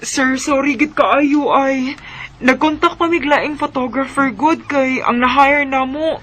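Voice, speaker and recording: An actress playing a flustered, apologetic assistant in a radio drama, with a Bisaya Filipino accent. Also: female voice, high-pitched, measured pace, fairly bright tone.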